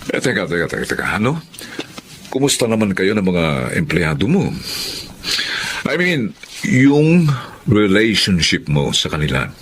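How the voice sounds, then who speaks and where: Filipino older brother for radio drama, deep and lively, chatty, gently chiding, slight noise behind. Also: male voice, unhurried. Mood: amused, probing. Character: easygoing, frank older brother, teasing and advising. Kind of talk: Tagalog radio drama acting.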